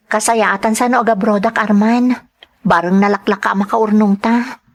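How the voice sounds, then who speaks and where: A woman's voice: mid-range voice, fairly even intonation, normal speed, natural voice. A woman with an Ilocano accent playing an earnest, hurt young wife in an audio drama. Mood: sorrowful recounting.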